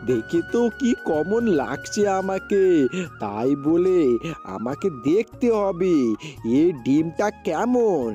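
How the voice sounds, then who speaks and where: A man's voice: high-pitched, very animated, slow, mellow, highs cut off, soft background music, heavily acted. A man playing an earnest, poor village father who sells fruit, in a children's cartoon, speaking in simple repeated phrases. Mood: amazed, excited. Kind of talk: amateur Bengali cartoon dialogue.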